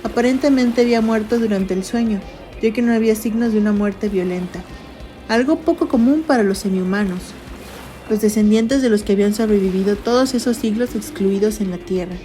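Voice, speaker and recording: Mellow-voiced woman narrating a story. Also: medium pitch, brisk. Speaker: audio drama narrator. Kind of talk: scripted literary narration. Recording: music bed under voice. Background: background music.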